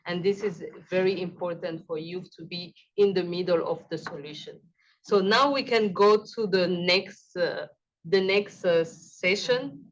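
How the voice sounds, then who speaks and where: A woman moderating a panel, asking questions slowly and carefully, with some non-native grammar. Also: mid-range voice. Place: conference side event. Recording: dull lo-fi audio.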